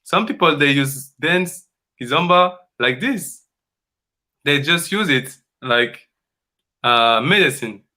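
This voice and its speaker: Man, dance coach, earnest and repetitive, slightly high, forceful voice. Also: animated. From Congo. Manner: earnest and emphatic, repeats phrases, halting non-native English. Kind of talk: conversational coaching talk.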